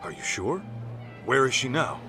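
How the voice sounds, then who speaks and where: A man's voice: mid-range voice, very animated, normal speed, bright, forceful tone, faint music behind. An actor playing a man who questions people politely and persistently in a game dub. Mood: earnest.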